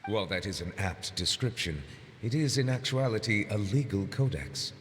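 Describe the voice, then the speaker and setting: Man playing a stately, low-pitched, formal judge. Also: animated, moderate pace, slight background noise, natural voice. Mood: polite, earnest. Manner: formal, precise, reserved. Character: stately, polite, literal-minded male chief judge. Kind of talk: video game voice acting. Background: faint music with guitar.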